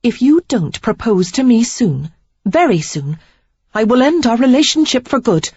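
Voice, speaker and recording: A woman's voice: a little high, very animated, moderate pace, warm tone, husky, no room sound, dull audio, pitched above the performer's own voice. A woman reader voicing an upset young woman who pleads and insists, close to tears. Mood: pleading, resolute. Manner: pleading, emphatic, near tears. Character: young woman, frustrated and resolute suitor.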